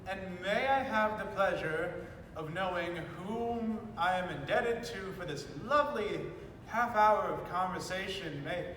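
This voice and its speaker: A man's voice: unhurried, fairly bright tone. A man playing a flustered, courteous elderly British general in a stage play, speaking in stammering, old-fashioned formal phrases. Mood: polite, awkward. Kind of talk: student stage play acting. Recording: echoey hall, distant mic, faint rumbling noise.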